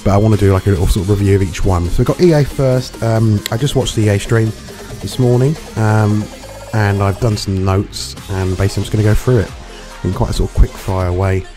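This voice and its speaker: A hungover man with a gravelly voice and dry throat, rambling through casual, sweary commentary as a gaming reviewer. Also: on the low side, a little fast, few pauses. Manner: rambling, chatty, swears freely. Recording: music playing underneath.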